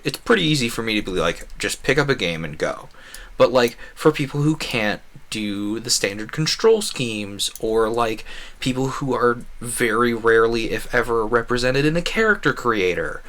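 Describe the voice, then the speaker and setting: A man's voice: mid-range voice, animated, moderate pace, fairly bright tone. A man giving casual, chatty, opinionated commentary as a game streamer, often wandering off on digressions.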